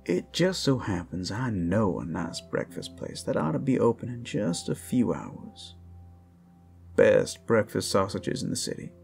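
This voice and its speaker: Man playing a slow, gravelly flirtatious werewolf. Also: fairly low pitch, sing-song, a little mellow, soft background music, heavily acted. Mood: friendly flirtatious. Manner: teasing, smooth-talking, addressing one listener. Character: playful flirtatious male werewolf, friendly and teasing. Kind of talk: ASMR roleplay, intimate character acting.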